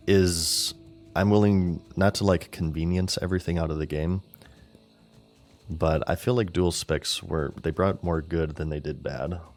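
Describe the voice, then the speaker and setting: A man streaming a game and chatting casually with viewers, rambling and thinking aloud, his voice scratchy and clearing his throat. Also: low voice, fairly even intonation, a little slow, darkish tone, faint music behind. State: scratchy, failing voice.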